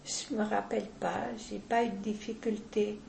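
An older woman, talking deliberately and with firm opinions in a casual interview, often restarting her sentences. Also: medium pitch, level tone, creaky, darkish tone, roomy sound, slight background noise, rough recording.

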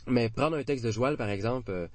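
A man in his forties with a Québécois French accent, talking in a relaxed, hesitant way full of fillers and restarts. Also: medium pitch, a little fast, a little hiss or hum. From Quebec. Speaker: interviewee. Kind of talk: casual conversation.

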